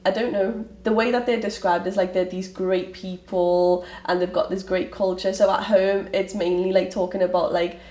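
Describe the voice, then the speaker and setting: A woman's voice: mid-range voice, level tone, normal speed, hardly any pauses, dark tone. A young woman with a Liverpool accent, candidly telling her family story in an interview, often restarting her sentences. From Liverpool, England. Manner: candid, rambling with frequent restarts. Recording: roomy, from a distance, faint ambient noise.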